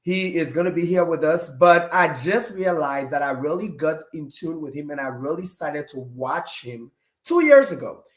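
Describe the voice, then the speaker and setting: Congested nasal guy hosting a talk show, bit high voice, echoey room. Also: animated, normal speed. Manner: upbeat, repetitive. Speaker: host. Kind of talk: casual talk show opening. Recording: roomy echo.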